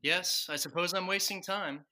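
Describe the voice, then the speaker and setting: A woman's voice: on the low side, moderate pace, pushed and bright. A woman playing an exasperated, put-upon father in a parody of a 1940s radio sitcom, whiny, grumbling and blustering. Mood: weary, grumbling.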